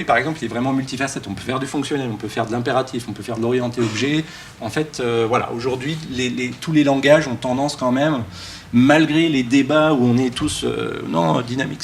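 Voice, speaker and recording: A man speaks informally as a conference keynote speaker, joking and halting with many false starts. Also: medium pitch. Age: early 40s. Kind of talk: casual, joking conference talk. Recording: live talk with background room noise.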